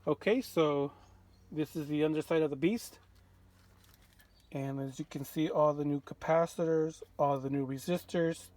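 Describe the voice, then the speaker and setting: A man presenting a casual hands-on walkthrough as a radio restorer, informal and halting as he thinks aloud. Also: on the high side, darkish tone, dry recording.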